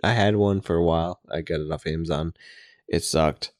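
A man chatting in a relaxed, laid-back way, swearing casually. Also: sits low, normal speed, no room sound, clean studio sound. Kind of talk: casual podcast chat.

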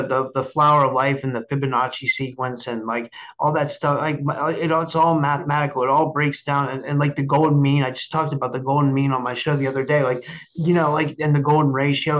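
A man hosting a casual chat show, talking haltingly with repeated words and frequent checking tags. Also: mid-range voice, a bit bright, lo-fi sound. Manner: halting, repetitive, frequent "like" and "right?". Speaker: show host and interviewer.

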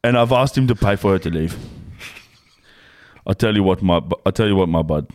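A man's voice: low voice, fairly quick, a little mellow. A young Australian man co-hosting a podcast, chatting in crude, joking banter and laughing often. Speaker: podcast co-host. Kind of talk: casual banter.

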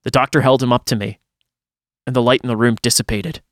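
A man's voice: mid-range voice, fairly even intonation, fast, no room sound, studio quality. A man reading a first-person horror story aloud in a dramatic storytelling style, as a podcast host would.

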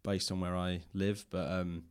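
Guy, low voice, interviewing someone, chatty and thoughtful, kinda hedges as he goes. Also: little pitch movement, moderate pace, clean tone. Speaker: podcast host and interviewer. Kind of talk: relaxed conversational interview. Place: indoors, in a library.

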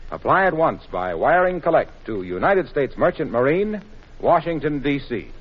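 A man announcing in scripted 1940s radio style, delivering sponsor pitches and appeals. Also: very animated, normal speed, heavy background noise. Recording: muffled, worn 1940s radio recording.

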